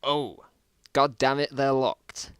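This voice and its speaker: A man's voice: mid-range voice, little pitch movement, slow pace, a bit bright, smooth, dry recording, studio quality, voice pushed up. A man voicing a panicky, cursing hero of a zombie horror story, exclaiming and overdramatic. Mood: exasperated.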